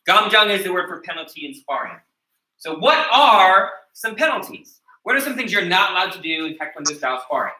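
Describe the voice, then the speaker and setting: A high, very bright American male voice leads a martial arts class, questioning students and checking that each point landed. He is picked up from a distance in an echoey training hall. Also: very animated, fairly quick. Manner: instructive, checks in with "okay?" after each point. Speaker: taekwondo instructor. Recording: echoey room recorded from a distance.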